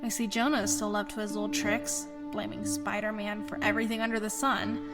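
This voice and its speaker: A woman's voice: mid-range voice, level tone, fairly quick, lightly acted. A woman playing a thoughtful young wife in a comic dub, musing through an inner monologue with dramatic feeling. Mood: eager, fond. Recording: loud music behind the voice.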